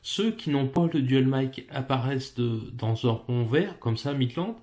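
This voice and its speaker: A man's voice: medium pitch, animated, a little slow, a little mellow. A man with a French accent casually walking the viewer through a mobile app's settings, speaking informally with frequent hesitations. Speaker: app tutorial presenter. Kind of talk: casual screen walkthrough.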